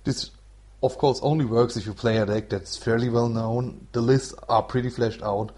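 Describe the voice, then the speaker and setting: A man from Germany with a German accent, hosting a solo podcast in an explanatory, somewhat halting non-native way. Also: mid-range voice, fairly even intonation, darkish tone. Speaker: podcast host. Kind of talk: explanatory solo podcast talk about card game strategy.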